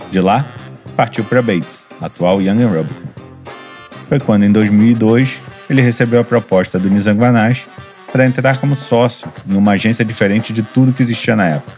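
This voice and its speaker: A man with a Brazilian Portuguese accent hosts a studio podcast in a somewhat low voice. He reads his intro with an upbeat, chatty energy and laughs easily in conversation. Faint music plays underneath. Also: darkish tone. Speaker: podcast host. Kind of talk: scripted intro then casual interview.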